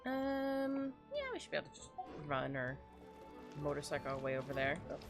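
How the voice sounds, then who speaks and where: A young woman chatting and giggling over her gameplay, rambling as she reacts to what happens on screen. Her voice is mid-pitched with big, lively swings up and down. Soft game music runs under her. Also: a little fast. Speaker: game streamer. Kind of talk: casual game commentary.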